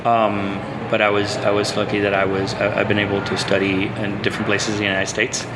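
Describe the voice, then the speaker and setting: Guy from puerto rico, interview guest, lots of ums and restarts, loud noise behind him. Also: lowish voice, monotone, a little fast. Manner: earnest, restarts and "um"s. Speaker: guest, social psychologist. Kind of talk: conversational interview.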